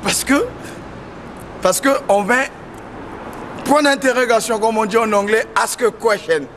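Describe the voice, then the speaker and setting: Man with a high voice, fired up, repeats himself a lot, outside with loud traffic noise. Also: a little slow. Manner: exhorting, repetitive, mixes in English. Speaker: street interviewee. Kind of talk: impassioned street vox pop. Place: busy city street outdoors.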